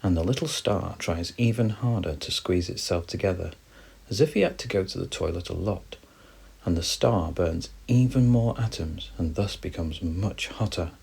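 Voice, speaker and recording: Low, husky bedtime storyteller. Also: male voice, moderate pace. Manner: gentle, expressive.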